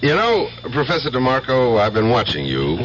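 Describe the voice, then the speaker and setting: A man playing a shrewd police detective in a 1970s radio drama, dry, probing and deliberate in his delivery. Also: mid-range voice, wildly swooping pitch, fairly bright tone. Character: shrewd, probing male police detective sergeant, drily sardonic. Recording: dull, slightly hissy radio broadcast.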